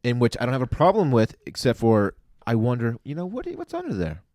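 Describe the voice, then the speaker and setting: A man's voice: mid-range voice, wildly swooping pitch, quick pace, a little mellow, dry recording, studio quality. A man co-hosting a podcast, chatting casually and giving blunt opinions. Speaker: podcast co-host. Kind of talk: casual banter, reality TV recap.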